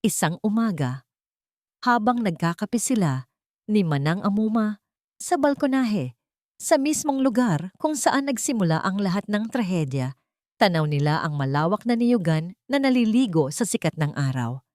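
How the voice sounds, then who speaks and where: A woman narrating a dramatic audio story in a measured, scripted way. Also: medium pitch, dry recording. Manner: measured, dramatic pacing with expressive rises.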